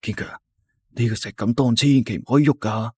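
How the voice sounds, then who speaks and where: A man voicing a frightened, superstitious young village man in a Cantonese storybook tale, warning in a hushed voice and scolding in a shrill, panicky one. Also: a little fast, breathy edge, no echo, clean studio sound, close to an everyday voice.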